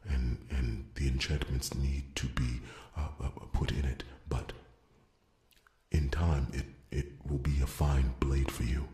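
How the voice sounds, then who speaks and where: A man playing a gentle, earnest dwarf blacksmith in an ASMR fantasy roleplay, stammering deferentially in a hushed half-whisper. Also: rumbling low. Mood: quietly proud. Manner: halting, stammering, deferential, repeats his words. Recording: roomy echo, faint background noise.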